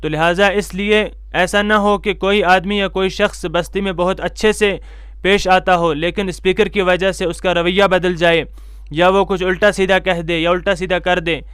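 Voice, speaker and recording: A male cleric delivers religious guidance in a high-pitched, clear voice. His manner is formal and instructive, stressing points by repeating them. The sound is close and dry, with very little room in it. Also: little pitch movement, moderate pace, a bit bright, no echo. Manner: formal, instructive, emphatic repetition. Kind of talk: religious lecture to camera.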